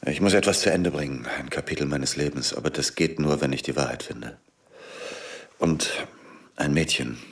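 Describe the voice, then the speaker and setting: An actor playing a grim, driven middle-aged former intelligence operative in a radio drama, sombre, deliberate and terse. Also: male voice, rumbling low. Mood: grave, resolute. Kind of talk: radio drama acting and first-person narration. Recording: studio radio play with music underneath.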